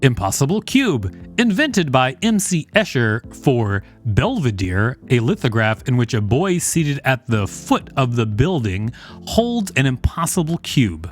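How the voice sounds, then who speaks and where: A man with a medium-pitched voice co-hosts a podcast. He reads encyclopedia material aloud and adds casual, conversational asides. Soft music plays underneath. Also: very animated, unhurried. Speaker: podcast co-host.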